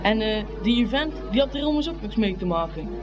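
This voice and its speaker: A plucky, easily offended young boy as an actor plays him mutters and exclaims his way round a fair. It is radio play acting, with music clearly behind the voice. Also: mid-range voice, lively intonation, brisk, close to an everyday voice. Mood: sulky, aggrieved.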